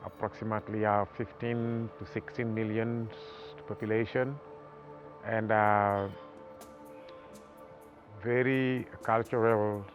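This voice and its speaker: A man from Senegal with a Senegalese accent, talking haltingly about his art in a documentary interview, with many pauses and fillers. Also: on the low side, flat delivery, highs cut off, soft background music. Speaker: artist.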